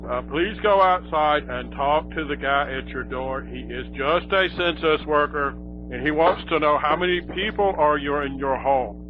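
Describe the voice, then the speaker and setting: A man's voice: on the high side, normal speed, close to an everyday voice. A man playing a flustered emergency alert announcer, hesitant and repetitive as he awkwardly reassures in a mock broadcast. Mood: awkward, reassuring. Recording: muffled lo-fi broadcast with clear background music.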